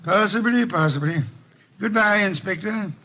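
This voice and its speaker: High-voiced, lordly radio detective. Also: male voice, loud music behind the voice. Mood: grave, courteous. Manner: formal, measured, drily amused. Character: shrewd, unruffled, pompous older male detective, lordly and dryly amused. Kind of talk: old-time radio drama acting. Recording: muffled old radio broadcast recording.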